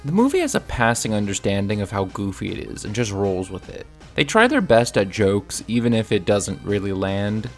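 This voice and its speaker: A man with a fairly low voice narrates a scripted film review. His delivery is casual and opinionated, with a gravelly creak, over faint background music. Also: huge pitch swings, moderate pace, few pauses. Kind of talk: scripted video essay narration.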